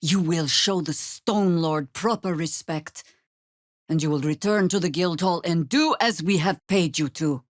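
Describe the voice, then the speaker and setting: An actress playing a seasoned older female druid warrior in a fantasy video game, measured, wry and commanding. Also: fairly low pitch, dry recording, studio quality, close to an everyday voice.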